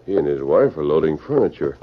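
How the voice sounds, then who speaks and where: A righteous masked cowboy hero in an old radio serial. His deep voice swings widely in pitch and stays firm and authoritative. The sound is muffled, with some noise. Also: sing-song, fast, music in the background. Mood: thoughtful. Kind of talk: 1940s radio acting.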